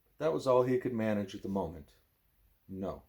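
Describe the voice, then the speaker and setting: A man, a parent, reading a book aloud expressively as a bedtime story, with a wry, deadpan manner. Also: lowish voice, fast, vocal fry.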